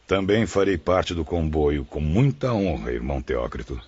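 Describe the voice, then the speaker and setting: A man's voice: very deep voice, animated, normal speed, darkish tone, highs cut off, slight background noise. A man playing a courteous, earnest spirit instructor in an audiobook drama, with a formal, deferential manner.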